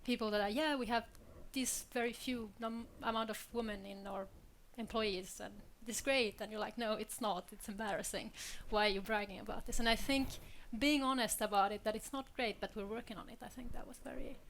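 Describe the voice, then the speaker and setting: A woman's voice: a little high, steady tone, fairly quick, few pauses, a bit bright, a little hiss or hum. A young woman from Switzerland speaking candidly on a panel, laughing often between points. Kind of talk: panel discussion.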